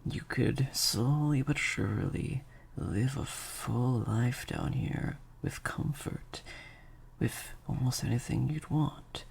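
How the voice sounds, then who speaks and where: A man playing a slightly sadistic, controlling vampire master in an intimate improvised audio roleplay, hushed and deliberate, with long pauses. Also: medium pitch, heavily acted. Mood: controlled, threatening. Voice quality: breathy, creaky half-whisper. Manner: slow, deliberate, hushed delivery with drawn-out pauses.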